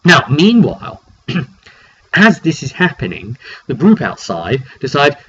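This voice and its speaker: A man with a mid-pitched voice tells the story of a roleplay session like a game master among friends. His phrasing is casual, slangy and animated. The recording is dull and lo-fi, with faint noise behind him. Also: unhurried.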